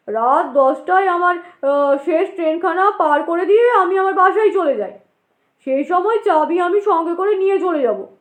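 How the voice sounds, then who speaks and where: A loud, excitable station master played by a woman in an audio drama, high in pitch and ringing in a roomy echo. He is chatty and blustery, a short stout man who scoffs freely and insists on his point. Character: short stout talkative middle-aged male station master, excitable, sceptical. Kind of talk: dramatized audio story dialogue.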